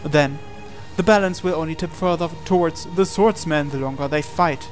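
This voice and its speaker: A man reading a visual novel aloud as a dramatic narration, with deliberate pauses. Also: on the high side. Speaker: gaming channel host. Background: game music.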